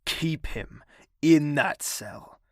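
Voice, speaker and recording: A man playing a brooding, menacing young prince in an audio drama, speaking in a measured, gravelly, husky voice. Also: on the high side, fairly bright tone, no room sound, studio quality, exaggerated voice. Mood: cold menace. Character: brooding, menacing young prince, tender toward his mother.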